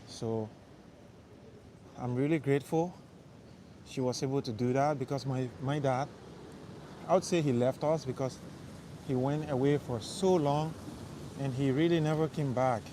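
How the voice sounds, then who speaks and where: A man's voice: medium pitch, a little slow, pauses often. A young man from Liberia, casually chatting with viewers of his live stream while walking city streets at night, rambling and answering their questions. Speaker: livestream host. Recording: faint street and traffic noise. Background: faint traffic noise.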